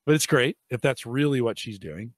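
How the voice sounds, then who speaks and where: A middle-aged man hosting a casual tech podcast, hoarse and raspy from allergies, rambling with fillers and restarts, on a boom-arm studio mic in a home studio. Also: normal speed, dry recording. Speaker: podcast host. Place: home studio room with shelves.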